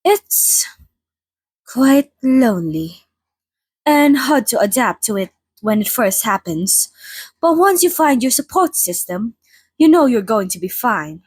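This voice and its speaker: A woman playing a polite, chatty old vampire being interviewed in an ASMR roleplay, speaking in a slightly formal, gently wry way. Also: on the high side, very animated, takes it slowly, breathy edge, dry recording, exaggerated voice. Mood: gentle, sincere. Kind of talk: ASMR roleplay, in-character monologue to listener.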